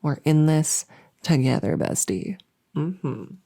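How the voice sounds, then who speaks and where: Girl doing a nervous lady monster under the bed, slow n rambly, low creaky soft voice, asmr roleplay. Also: heavily acted. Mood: friendly, winding down. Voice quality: soft, often slipping into whisper. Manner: rambling, nervous, stammering and repeating herself. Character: anxious, overworked, friendly female monster under the bed. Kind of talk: whispery comedic ASMR audio roleplay.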